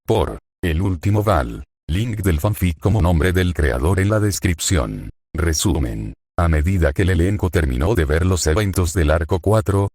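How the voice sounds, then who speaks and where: A man with a low, deep voice and a Spanish accent from Spain reads a fan story aloud. His delivery is steady and even, and the recording is dry and close, with no room sound around him. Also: no echo. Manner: steady, even-paced reading of narration and quotes. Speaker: fanfiction reader.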